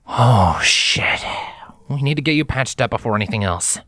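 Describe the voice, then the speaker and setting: A man voicing an earnest, protective young male unicorn pony in a breathy storybook reading. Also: mid-range voice, expressive, normal speed, no echo, clean studio sound, voice pushed up. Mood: worried. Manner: urgent or hushed, breathy, reassuring.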